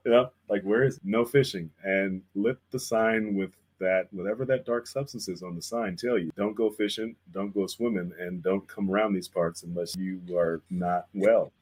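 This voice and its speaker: A man's voice: deep voice, fairly even intonation, moderate pace, hardly any pauses, a little mellow, a little hiss or hum, rough recording. A man in casual conversation as a guest artist, telling stories in slangy language and acting out bits of remembered conversations. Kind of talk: casual chat, storytelling about creative work.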